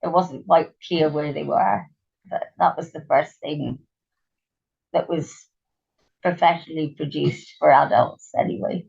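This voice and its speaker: A middle-aged woman speaks with a croaky, gravelly voice that sits low. She is a guest in a relaxed interview, thoughtful and trailing off with ums as she remembers. The sound is dull and lo-fi, like a remote recording. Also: a little slow. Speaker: interview guest, writer and actress.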